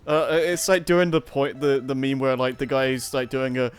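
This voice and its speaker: A man with a medium-pitched voice chats casually as a livestream co-host. He talks in a halting, conversational way, feeling out his sentences as he goes. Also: smooth. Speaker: co-host of a fan fiction reading show. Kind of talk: casual group chat on a livestream.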